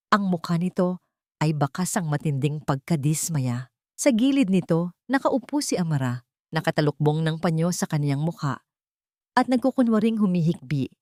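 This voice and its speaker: A woman's voice: on the low side, darkish tone, no room sound, clean studio sound. A woman narrating a scripted audio story in a dramatic storytelling style.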